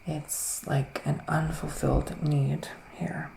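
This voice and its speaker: Male ASMR witch, whispery and reassuring, gravelly fry, unhurried and soothing, roomy sound. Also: fairly high pitch, little pitch movement. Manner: soft-spoken, soothing, unhurried, with pauses. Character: gentle, reassuring male witch giving psychic readings. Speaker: ASMR performer playing a psychic reader.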